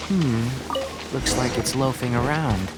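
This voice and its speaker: Man voicing a calm, measured young researcher. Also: mid-range voice, natural voice, low-quality recording. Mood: analytical. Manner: measured, articulate, composed, instructive. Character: calm, analytical young male forest researcher. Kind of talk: video game voice acting. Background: game music.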